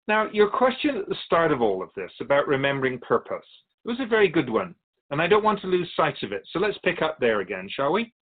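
A man playing a patient, wise, otherworldly Keeper of Souls who teaches in a measured, philosophical way in a radio drama. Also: on the high side, breathy edge, narrow, tinny audio. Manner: measured, philosophical, gently instructive. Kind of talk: scripted radio drama dialogue.

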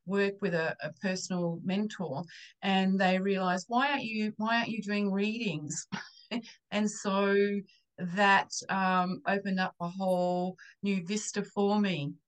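A woman with an Australian accent chatting casually as an interview guest, halting as she searches for words. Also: medium pitch, fairly even intonation, low-quality recording. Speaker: interview guest, psychic channeler and Reiki therapist.

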